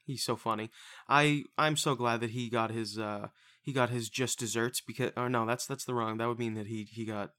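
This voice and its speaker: Profane, rambling young podcast host. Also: male voice, mid-range voice, fairly even intonation, moderate pace, pushed and bright. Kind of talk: casual podcast banter.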